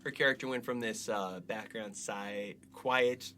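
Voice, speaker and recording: A man's voice: lowish voice, steady tone, unhurried, sharp tone. A man co-hosting a podcast in casual banter, emphatic and effusive, repeating himself for stress. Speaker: podcast co-host.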